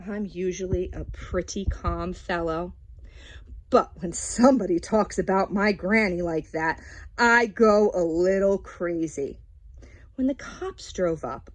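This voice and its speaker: A woman voicing a self-justifying wolf who tells his side of a children's storybook with folksy, aggrieved, dramatic delivery. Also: mid-range voice. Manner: dramatic, drawn-out storytelling with sudden swoops and hushes. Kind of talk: children's storybook read-aloud.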